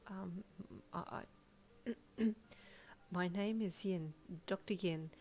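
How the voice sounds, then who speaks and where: A woman voicing a frightened but caring female doctor in an audio story, speaking haltingly and pleadingly. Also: fairly low pitch, steady tone, darkish tone, slight background noise, close to an everyday voice. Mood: nervous, hesitant. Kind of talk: acted dialogue in an audio story.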